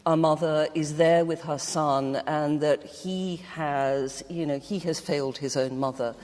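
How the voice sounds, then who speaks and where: A woman speaking as a guest in a reflective literary discussion, with a halting, measured delivery. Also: low-pitched, steady tone, a little mellow. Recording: very reverberant hall.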